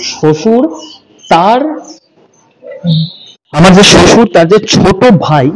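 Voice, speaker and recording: A man narrating a true horror story in the first person, earnest and pausing to correct himself now and then. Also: on the high side, darkish tone, poor audio. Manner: earnest, with small self-corrections.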